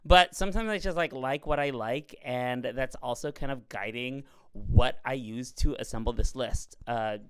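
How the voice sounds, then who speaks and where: A man hosting a casual chat, a little raspy and hoarse from a cold, talking in a chatty, campy way. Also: mid-range voice, dry recording. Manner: chatty, campy, fast asides. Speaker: podcast host.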